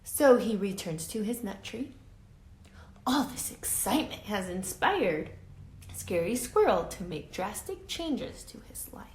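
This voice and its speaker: A woman reading a picture book aloud to children as a teacher, dramatic and expressive, pausing to ask her listeners questions. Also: mid-range voice. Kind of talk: animated children's read-aloud with asides to listeners. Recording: roomy echo with faint background noise.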